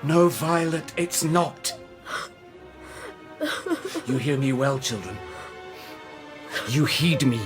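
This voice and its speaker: A man's voice: mid-range voice, takes it slowly, pauses often, music in the background, close to an everyday voice. An actor playing a stern, unforgiving father in a radio drama, speaking with grave, commanding emphasis. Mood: stern, forbidding. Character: stern, unforgiving father laying down law to his children.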